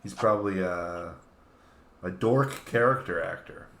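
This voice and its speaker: A man's voice: sits low, unhurried, a bit bright, slight background noise. A man chatting casually and jokingly as a panelist. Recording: roomy, echoing sound.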